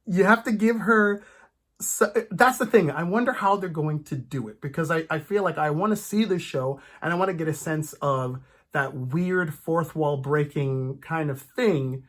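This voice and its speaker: A podcast host chatting casually as a fan, in an animated, rambling, stop-start way with playful asides. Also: medium pitch, moderate pace. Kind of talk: casual comic book fan chat.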